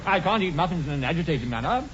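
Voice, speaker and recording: An actor playing a flippant young British dandy, airy and teasing, in 1940s radio comedy style. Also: male voice, fairly high pitch, expressive, fast talker, close to an everyday voice. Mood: nonchalant. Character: flippant, languid young dandy, unbothered glutton. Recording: muffled, noisy 1940s radio broadcast.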